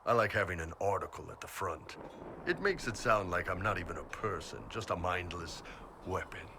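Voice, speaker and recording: An actor playing a huge, blunt, jovial mercenary warrior in a video game, with a casual wry delivery. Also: male voice, low voice, animated, normal speed. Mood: wry, amused. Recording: clear vehicle-like ambient noise behind.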